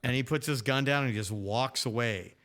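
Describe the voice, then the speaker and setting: A man's voice: mid-range voice, fairly quick, a bit bright. A man in his fifties co-hosting a podcast, telling casual anecdotes with teasing jokes and acted-out dialogue. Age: 50s. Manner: jokey, teasing, acts out quoted dialogue. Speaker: podcast co-host. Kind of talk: casual anecdotal storytelling and banter.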